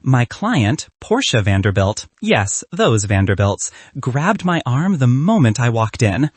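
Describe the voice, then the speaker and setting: A man reading a scripted first-person story as an audiobook narrator, with an expressive, wry delivery. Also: mid-range voice, measured pace, slightly rough, no echo.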